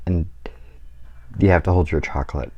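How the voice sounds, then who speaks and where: A man's voice: sits low, moderate pace, slightly rough, highs cut off. A man giving a casual, playful drawing tutorial for kids, rambling and trailing off mid-thought. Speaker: guest artist giving drawing lesson.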